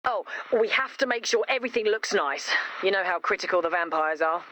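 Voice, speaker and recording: A woman's voice: lowish voice, expressive, a little fast, pushed and bright, slight background noise, poor audio. A woman voicing an earnest, chatty young heroine with romantic ideals and a bossy streak in a video game. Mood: wry.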